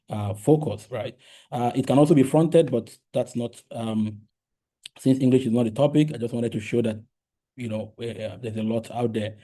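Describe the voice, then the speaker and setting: Dark-voiced Yoruba-speaking man giving a talk. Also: mid-range voice. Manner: halting, many fillers and restarts, checks in with "right?". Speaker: linguistics researcher presenting a talk. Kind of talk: academic conference talk. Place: lecture room.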